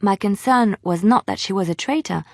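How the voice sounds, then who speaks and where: An actress playing a wistful female bard and former spy in a video game, with a gentle, measured, storytelling way of speaking. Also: mid-range voice, dry recording, clean studio sound, lightly acted. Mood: confiding, somber. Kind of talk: video game character dialogue.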